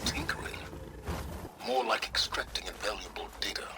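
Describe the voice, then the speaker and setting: A man playing an aloof, condescending scholar who guides the heroine in a video game, dry, measured and imperious. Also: fairly low pitch, music in the background, natural voice. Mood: dryly superior. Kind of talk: video game cutscene acting.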